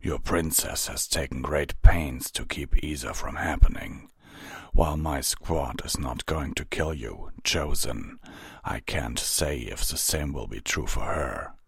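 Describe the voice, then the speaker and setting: A man voicing a large armored stallion captain in a storybook, stern but patient, measured and gently authoritative. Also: extremely low pitch, gravelly, slightly rough, no echo, voice pushed down, heavily acted. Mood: calm, grave.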